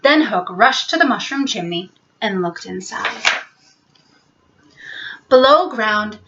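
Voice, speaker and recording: A woman storyteller giving an expressive, scripted narration of a children's bedtime story. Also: medium pitch, takes it slowly, muffled recording, a little hiss or hum.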